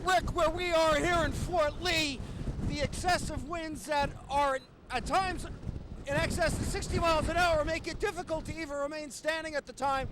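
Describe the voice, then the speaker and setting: High-pitched male TV news reporter. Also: fairly even intonation, normal speed, noisy background. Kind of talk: broadcast news reporting, live stand-ups and scripted packages.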